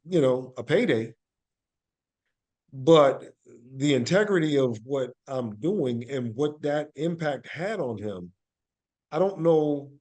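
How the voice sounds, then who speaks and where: A man speaking as an interview guest, telling stories conversationally in deliberate, emphatic short phrases. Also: fairly high pitch, a little mellow. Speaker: podcast guest, actor and playwright.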